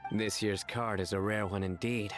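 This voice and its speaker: A stoic, earnest man from a video game , played by a male actor, with a low voice. He talks formally and completely deadpan, over faint background music. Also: normal speed, close to an everyday voice.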